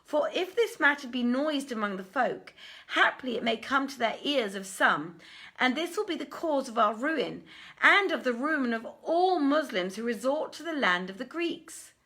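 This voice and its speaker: A woman reading a storybook, voicing aggrieved male merchants who plead humbly and declaim loudly before a king. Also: sits high, lively intonation, normal speed, pitched above the performer's own voice. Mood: earnest, secretive. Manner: loud, declamatory, beseeching.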